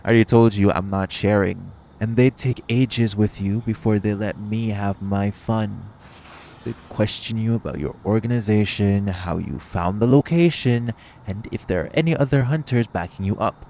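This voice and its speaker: A man's voice: lowish voice, normal speed, gravelly, warm tone, slight background noise. A man playing a cocky, flirtatious vampire who banters sardonically and swears in an audio roleplay. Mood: playful, smug. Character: cocky, teasing, flirtatious male vampire, long-lived yet youthful.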